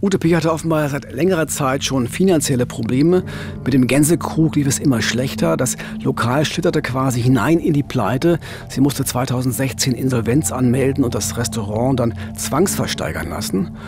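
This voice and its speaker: A man's voice: medium pitch, moderate pace. A man in his fifties narrating a crime case as a reporter in scripted dialogue at a studio microphone. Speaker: true-crime reporter and podcast co-host. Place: small dark studio. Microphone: studio boom microphone, headphones.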